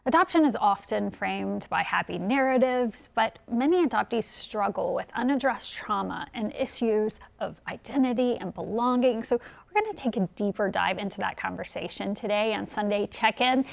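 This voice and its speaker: A woman's voice: medium pitch, normal speed, gravelly, hardly any pauses. A woman hosting a TV news interview show, leading the conversation in a friendly, conversational way.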